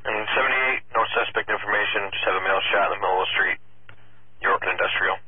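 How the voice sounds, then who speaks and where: A man's voice: lowish voice, quick pace. A man working as a police dispatcher, giving clipped, procedural calls over a police radio. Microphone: radio transmission captured from scanner feed. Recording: tinny phone-like scanner radio, faint noise.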